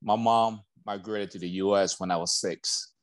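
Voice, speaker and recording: Deep-voiced man from Liberia, reflective. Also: steady tone, moderate pace, no echo, studio quality. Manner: reflective, many fillers like "like" and "you know". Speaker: guest. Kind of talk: casual interview conversation.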